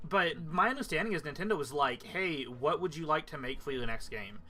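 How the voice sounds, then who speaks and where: Bright-voiced man chatting, swears casually. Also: a little high, lively intonation, fairly quick. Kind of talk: casual group chat on a livestream. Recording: music playing behind the voice. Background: background music.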